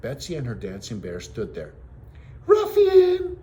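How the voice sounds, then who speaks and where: A man reading a children's story aloud as a teacher, with expressive narration on a noisy home recording. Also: fairly low pitch, unhurried.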